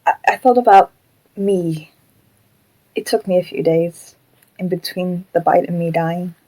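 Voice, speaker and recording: A woman playing a gentle, troubled animatronic fox who was once human, speaking hesitantly and reflectively in an audio drama. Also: on the low side, steady tone, measured pace, poor audio.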